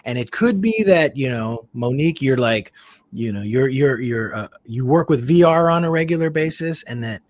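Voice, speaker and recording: A man's voice: mid-range voice, animated, normal speed, no room sound. A man talking casually as a podcast guest on a video call, reflective and rambling, with many restarts. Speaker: podcast guest, community founder.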